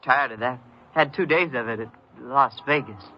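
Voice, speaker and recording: Man acting naive, boastful American teenage boy, higher-voiced. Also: moderate pace, natural voice. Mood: awkward, evasive. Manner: hesitant, defensive, eager to seem grown-up. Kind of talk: old-time American radio crime drama acting. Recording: dull vintage radio recording, music behind.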